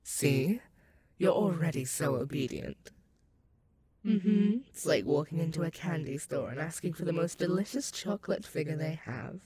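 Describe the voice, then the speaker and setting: A woman's voice: low-pitched, expressive, crowd noise. A woman playing an ancient, sadistic and possessive female werewolf demon in a roleplay audio, purring menace and mocking pet names at the listener. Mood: pleased, smug. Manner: slow, teasing, purring menace with mocking endearments.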